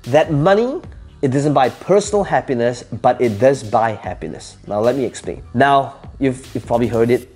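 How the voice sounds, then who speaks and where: A man's voice: mid-range voice, lively intonation, moderate pace, a little mellow, breathy edge, faint music behind. A man whose first language is Cantonese gives motivational advice to camera, speaking emphatically, asking rhetorical questions and repeating his key phrases. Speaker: entrepreneur giving advice.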